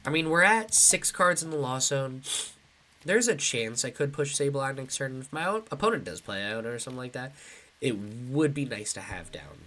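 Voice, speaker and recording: A man's voice: mid-range voice, expressive, normal speed, sharp tone. Congested man commentating a card game. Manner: thinking aloud, chatty and casual. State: congested, a little nasal. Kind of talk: live gameplay commentary.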